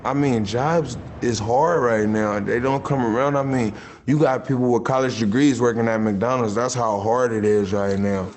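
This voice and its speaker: A man with a deep, loud voice speaks with a lot of emotion in a documentary interview about his job. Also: moderate pace, slight background noise. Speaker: worker interviewed.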